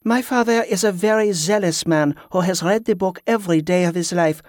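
An earnest, devoted wife from a fantasy audiobook, acted out by the narrator. Her voice is lifted in pitch, with a pleading, protective manner. The recording is very dry. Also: a little fast, darkish tone, no room sound, lightly acted. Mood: weary, defensive.

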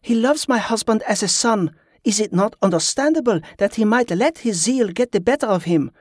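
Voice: mid-range voice, expressive, moderate pace, no echo, clean studio sound, voice pushed up, close to an everyday voice. A narrator voicing a loyal, earnest wife who protects her husband, in an audiobook. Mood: weary, defensive.

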